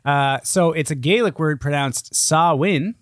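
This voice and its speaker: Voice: medium pitch, a little slow, no echo, studio quality. A podcast co-host, bantering casually and sarcastically and swearing freely.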